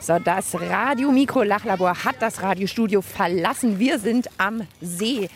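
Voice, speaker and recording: A woman, hosting a children's radio show with lively reporting and chat. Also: mid-range voice, measured pace, a little hiss or hum.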